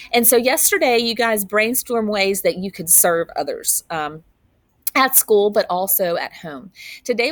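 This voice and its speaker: A woman's voice: medium pitch, no echo. A woman teacher reading a picture book aloud expressively, with asides to children.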